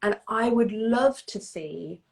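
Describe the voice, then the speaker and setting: Lady host on a video call, excited, rattles off side comments. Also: a little high, gravelly. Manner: enthusiastic, quick asides, acts out quotes. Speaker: host, English teacher. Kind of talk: live interview chat.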